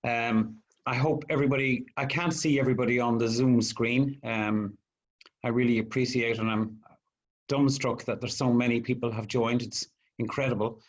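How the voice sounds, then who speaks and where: A man's voice: medium pitch, steady tone, moderate pace. A man with a Dublin Irish accent, a guest speaker opening his talk on a video call with many ums and restarts. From Ireland. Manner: hesitant, lots of ums and restarts. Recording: dull lo-fi video call audio.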